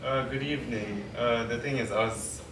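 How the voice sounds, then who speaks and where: A man from Zimbabwe, a student guest, answering in a polite, measured way. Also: medium pitch, fairly even intonation. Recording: roomy, distant mic, noisy.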